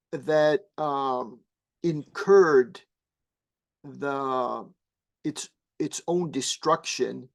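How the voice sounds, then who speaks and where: A man whose first language is Arabic, a guest imam explaining religious teaching slowly and thoughtfully, pausing often with fillers. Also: on the high side, creaky. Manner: measured, halting with many fillers.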